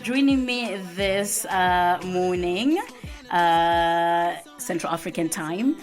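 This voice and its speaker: Excitable woman radio host. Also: on the low side, slow pace, soft background music. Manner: excitable, repeats words, fillers. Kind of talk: casual live radio chat. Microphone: live stream studio mic.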